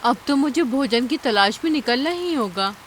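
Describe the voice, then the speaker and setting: A high-voiced cartoon bird, played by a woman, clever and full of concern. She speaks earnestly, with a faint noise behind her. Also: lively intonation, moderate pace. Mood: anxious. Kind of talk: cartoon character acting.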